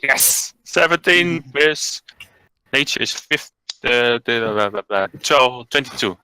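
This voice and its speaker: A man's voice: mid-range voice, animated, slow pace, sharp tone, clear, resonant tone, poor audio. A man playing a tabletop game, curious and polite, talking in and out of character. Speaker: player. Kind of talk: casual tabletop roleplay.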